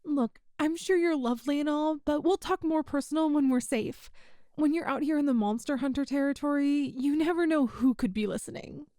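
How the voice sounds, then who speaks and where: A woman playing a kind, tough young rebel rescuer in an ASMR roleplay, with a folksy, hushed way of talking. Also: high-pitched, moderate pace, dry recording, lightly acted. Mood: friendly, guiding. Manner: folksy, reassuring, hushed, uses "ain't".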